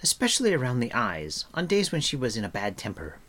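A man's voice: medium pitch, wildly swooping pitch, fairly quick, a bit bright, voice pushed up. Man voicing a chatty, outspoken storybook girl. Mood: tart.

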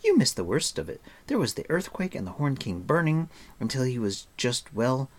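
A man's voice: medium pitch, very animated, moderate pace, pitched above the performer's own voice. A man voicing a chattering, scolding, breezily confident young girl in a storybook, talking in a breathless rattle.

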